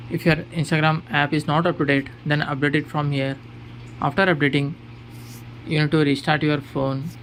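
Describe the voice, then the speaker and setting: A man hosting a how-to tech tutorial as a voice-over, giving plain step-by-step instructions. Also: fairly high pitch. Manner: plain step-by-step instruction, addressing viewers as guys. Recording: clearly noisy background hum.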